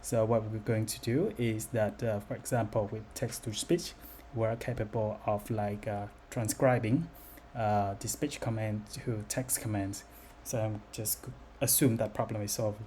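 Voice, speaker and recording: Mellow, halting man presenting a demo. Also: lowish voice. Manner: halting, hesitant delivery. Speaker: developer presenting a software demo. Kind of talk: unscripted screen-recorded demo narration. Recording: noticeable background noise.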